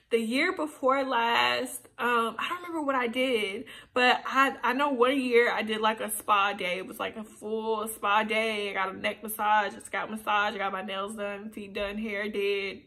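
A woman in her twenties chatting casually and playfully to the camera, answering get-to-know-me questions in a small room. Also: on the high side. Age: 20s. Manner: animated, chatty, playful asides. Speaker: YouTuber. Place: small indoor room, seated on a sofa.

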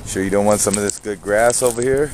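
A man's voice: on the low side, fast. A man casually vlogging a road trip through open desert scrubland, chatting to the camera and calling out to wild animals. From New Mexico. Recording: noisy outdoor camera audio.

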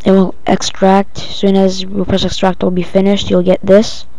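A female-sounding speaker giving a casual, halting, improvised step-by-step software tutorial. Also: mid-range voice, completely flat delivery, gravelly, no room sound. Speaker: tutorial presenter. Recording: dull lo-fi home recording.

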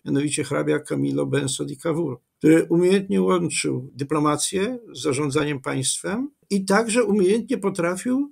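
An older man, a history professor, with a strongly gravelly, husky voice pitched somewhat high for a man. He explains at length in a measured, digressive way, full of fillers and restarts. He speaks into a webcam from a home study. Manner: measured, digressive, with many fillers and self-corrections. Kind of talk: lecture-style interview answer.

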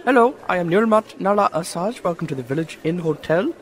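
A man acts out a scatterbrained hotel owner in a radio drama. His voice sits somewhat high, and he speaks with effusive, old-fashioned formality. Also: expressive, a little fast, over music, crowd noise. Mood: polite, apologetic. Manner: effusive, quaint, formal phrasing. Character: cheery, scatterbrained foreign male hotel owner.

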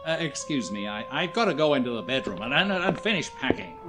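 An actor playing a crusty, irritable older man, gruff, curt and nervously stammering, in a 1940s radio play. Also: medium pitch, sing-song, fairly quick. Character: crusty, jittery, irritable older American father. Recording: vintage 1940s radio, music behind.

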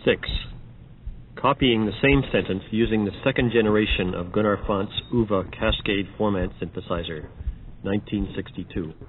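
A man's voice: lowish voice, normal speed. A man narrating an audio demonstration, reading scripted announcements. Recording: dull, noisy old record transfer.

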